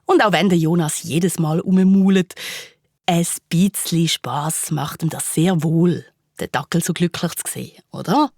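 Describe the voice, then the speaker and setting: A woman's voice: on the low side, a little slow, a little mellow, no room sound, clean studio sound. A woman with a Swiss German accent narrates a scripted children's story in a playful, vivid way, with conversational asides to listeners.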